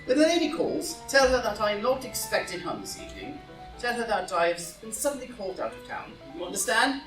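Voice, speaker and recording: A woman's voice: medium pitch, sing-song, brisk, close to an everyday voice. A woman playing a witty English lord, a loyal and flustered friend, with theatrical emphasis in a staged play reading. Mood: brisk, harried. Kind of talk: stage play read aloud as audio drama. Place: indoor room, live in-person reading. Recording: roomy live group reading, distant mic, music.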